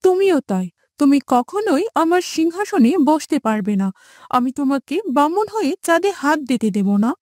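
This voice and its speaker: A woman's voice: sits high, big pitch swings, moderate pace, a little mellow, no room sound. A woman voicing a jealous, scornful young princess in a cartoon fairytale, with a sarcastic, mocking manner.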